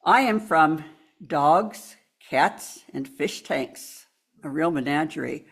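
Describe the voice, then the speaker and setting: Woman in her seventies, low voice, slow, warm poem reading at a church pulpit. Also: expressive, breathy edge. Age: 70s. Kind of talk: reading a personal poem aloud. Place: church sanctuary.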